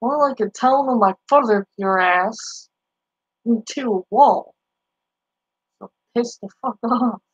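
Boston girl streamer on a headset, swearing a ton, slow drawl, kinda muffled lo-fi audio. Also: on the high side, breathy edge, no room sound. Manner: brash, profane trash talk. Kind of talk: live stream chat reply. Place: small bedroom. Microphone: headset mic.

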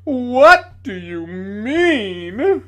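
A man reading aloud voices a bitter, theatrical male ghost who declaims slowly and loudly with self-pity. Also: sits high, pitched above the performer's own voice, heavily acted. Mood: bitter triumph. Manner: loud, slow, melodramatic declaiming.

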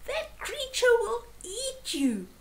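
A woman voicing a kindly male bird in a children's story, reassuring and helpful, with a brisk, jolly manner. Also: a little high, wildly swooping pitch, heavily acted. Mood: screeching warning.

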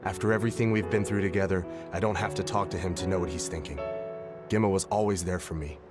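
An actor playing a polite, grieving young man in a game cutscene, speaking gently. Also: low-pitched, steady tone, quick pace, loud music behind the voice, close to an everyday voice. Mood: subdued. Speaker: game character.

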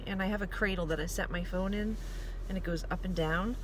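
A woman's voice: medium pitch, steady tone, a little fast. A woman, chatting casually and self-deprecatingly to a phone camera clipped inside her car, rambling and restarting her sentences. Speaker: vlogger. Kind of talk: casual car vlog monologue. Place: inside a parked and driving car at night. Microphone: phone clipped to the dashboard vent. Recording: loud car and road noise.

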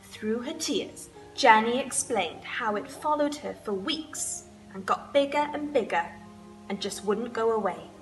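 A young woman reads a children's story aloud with expression. Her pace is slow and clear in its phrasing, her pitch a little high, and faint music plays behind her. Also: animated, rough recording. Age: young adult. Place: indoors, room with wood-panelled wall and sofa.

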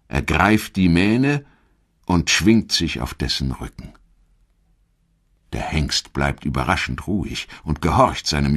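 A man reading scripted history documentary narration in a measured, clearly articulated storytelling manner. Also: rumbling low.

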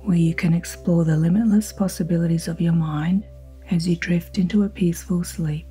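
A woman guiding a sleep hypnosis in a slow, soothing, soft-spoken manner with breathy phrase endings. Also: on the low side, steady tone. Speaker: hypnosis guide. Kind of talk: guided sleep hypnosis narration. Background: ambient music with singing bowl tones.

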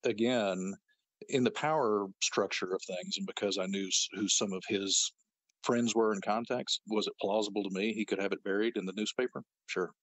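A man with a very low, husky voice, telling his side of events as a guest. He speaks matter-of-factly, with an indignant edge. The recording is dry and close. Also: steady tone, normal speed, no echo, low-quality recording. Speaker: interview guest. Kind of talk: recounting events in an interview.

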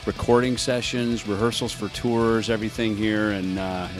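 Low-voiced laid-back male musician. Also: little pitch movement, moderate pace, music in the background. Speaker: guest musician.

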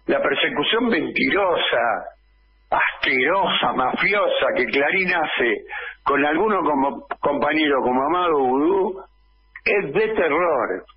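A man in his sixties with an Argentine accent, delivering an emphatic political editorial in a small radio studio with headphones and a boom mic. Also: animated, husky. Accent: Argentine Spanish. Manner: slow, emphatic, insistent, with frequent "eh" fillers. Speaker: radio commentator. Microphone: studio mic on boom arm, wearing headphones. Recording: dull lo-fi radio sound.

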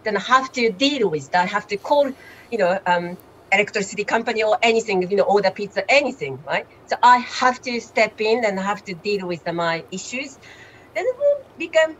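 A woman's voice: medium pitch, a little hiss or hum, poor audio. Japanese-accented woman chatting on a webcam. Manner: halting, non-native grammar, animated. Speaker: guest. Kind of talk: casual chat on a video call. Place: home room, indoors. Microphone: webcam on a video call.

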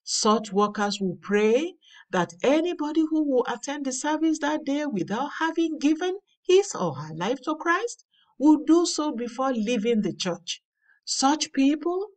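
A woman reading a daily devotional aloud in a measured, earnest way. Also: high voice, a little mellow, distant mic. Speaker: devotional reader. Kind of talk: slow scripted devotional reading. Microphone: voice-over over slides.

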